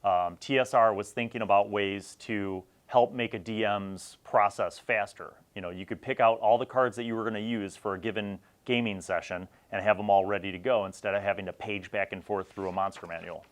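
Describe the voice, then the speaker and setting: A man's voice: fairly low pitch, fairly even intonation, a little fast, few pauses. A middle-aged man talking casually and conversationally as the host of a review video, rambling a little as he comments. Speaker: host of a video series reviewing old roleplaying magazines.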